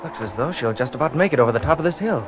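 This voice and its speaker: Earnest young countryman with very lively pitch. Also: rapid-fire. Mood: friendly. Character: lonely, friendly young countryman who makes toys. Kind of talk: 1940s radio drama acting. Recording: muffled, hissy old radio recording.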